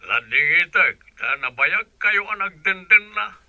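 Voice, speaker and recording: A man's voice: high voice, animated, unhurried, pushed and bright, no room sound, lo-fi sound, full character voice. An actor playing a hearty older father and grandfather in an Ilocano radio drama, with an Ilocano accent. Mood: firm, apologetic.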